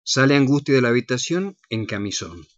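Argentine man, radio co-host and narrator, mid-pitched and lively, over noticeable noise. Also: a little fast, muffled recording. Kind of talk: scripted narration and radio presenting.

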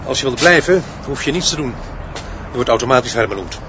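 An actor playing a brisk, authoritative, dictatorial headmaster in a radio drama. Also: male voice, medium pitch, expressive, close to an everyday voice. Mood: brisk, casual. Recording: dull, outdoor street noise behind.

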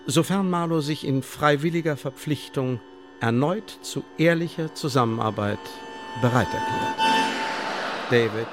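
Radio play: male actor for calculating intelligence bureaucrat, officious and measured, middle register, light music underneath. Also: medium pitch, lightly acted. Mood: coolly official. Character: male secret service official, formal, calculating, coolly bureaucratic. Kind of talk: German radio play, report read aloud and interrogation.

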